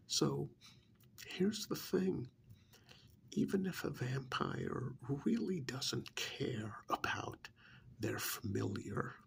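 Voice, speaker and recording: Male ASMR role-play performer. Very creaky, soft half-whisper; deliberate, pointed monologue. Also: mid-range voice. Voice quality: breathy, gravelly half-whisper.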